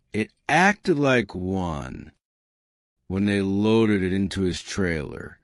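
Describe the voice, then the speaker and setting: A man's voice: fairly low pitch, no echo. Guy reading a serious story, takes his time, voice rises and dips, very dry sound. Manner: solemn, deliberate. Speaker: narrator. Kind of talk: dramatic scripted story narration.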